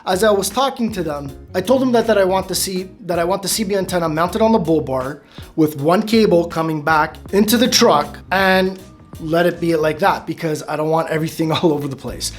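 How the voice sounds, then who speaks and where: A man's voice: a little high, brisk, faint music behind. A man in his thirties casually explaining a truck radio install to camera, rambling with restarts and small laughs. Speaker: vlogger. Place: dim room at home, bike behind. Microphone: camera close up, facing it.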